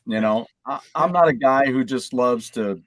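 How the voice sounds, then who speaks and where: A man's voice: medium pitch, normal speed, no room sound. A man chatting casually as a podcast panelist, easygoing and joking.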